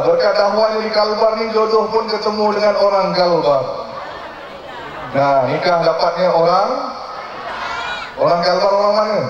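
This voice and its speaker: Malaysian guy preaching, jokey call-and-response style, really echoey pa with loud crowd behind him. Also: a little high. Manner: playful, teasing, repeats questions to the listeners. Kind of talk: live sermon with jokes and audience call-and-response. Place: live religious gathering at night, over loudspeakers with a crowd. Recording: echoey mosque PA, muffled, crowd noise.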